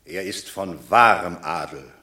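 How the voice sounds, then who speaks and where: An actor playing an authoritative Roman senator who speaks in a formal, commanding way in a classic radio drama. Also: male voice, low-pitched, unhurried, sharp tone, reverberant.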